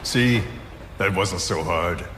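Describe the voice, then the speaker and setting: A sadistic male interrogator speaks slowly in a low voice. He taunts with cold, deliberate menace and a mock-philosophical air. The recording has clear background noise. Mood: cold command. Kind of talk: video game voice acting, dramatic scene.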